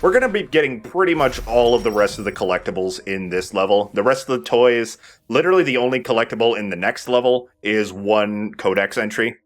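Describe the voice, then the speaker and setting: A man's voice: medium pitch, expressive, a little fast, few pauses. A young man casually commentating on a video game with friends in a jokey manner. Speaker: let's play host and player.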